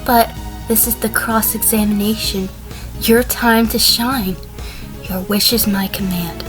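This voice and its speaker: A woman playing a wary sheep defendant in a fan audio drama, giving measured, dry testimony. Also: mid-range voice, steady tone, over music, lightly acted, low-quality recording. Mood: composed, wary. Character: female sheep defendant, a wary, composed former conspirator.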